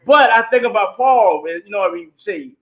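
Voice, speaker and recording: High-voiced man giving informal, devout testimony. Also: lively intonation, moderate pace, fairly bright tone. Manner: informal, emphatic, devout, punctuated with 'you know what I'm saying'. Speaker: stage play producer and guest. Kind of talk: testimonial talk on an online show.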